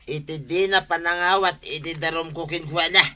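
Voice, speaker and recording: Male actor: stubborn village grumbler, Ilocano accent, very sharp forceful voice, higher-pitched, comedy drama. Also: fairly even intonation, moderate pace. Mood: aggrieved, grumbling.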